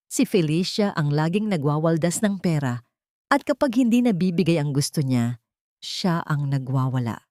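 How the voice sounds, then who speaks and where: A woman's voice: fairly low pitch, dry recording, clean studio sound. A woman voicing an elderly, scheming mother in an audio drama, pleading with theatrical sorrow. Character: scheming elderly mother, falsely grieving on the witness stand.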